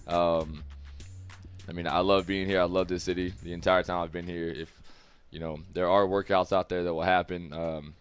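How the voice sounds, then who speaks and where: Low-voiced guy in his twenties, clean smooth sound, loose candid chat as a guest. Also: dry recording. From United States. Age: 20s. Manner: candid, easygoing, loose and rambling. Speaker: guest, professional football player. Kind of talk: casual interview talk. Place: studio room with several people.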